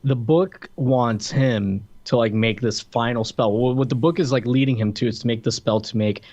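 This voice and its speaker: A man co-hosting a podcast, casually discussing a film with wry, nitpicky comments and halting restarts. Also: medium pitch, fairly even intonation, a little mellow, lo-fi sound. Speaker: podcast co-host reviewing films. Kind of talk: casual comedic movie discussion.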